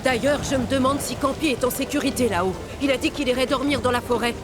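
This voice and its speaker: A woman voicing a gentle, worried village lady in a French cartoon dub. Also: sits high, fast talker, loud music behind the voice, close to an everyday voice.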